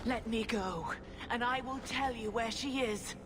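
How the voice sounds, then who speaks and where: A woman's voice: a little high, a little slow, background noise, lightly acted. An actress playing a proud female mage villain in a video game, cornered, urgent and calculating as she bargains. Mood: urgent bargaining. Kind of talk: dramatic video game acting.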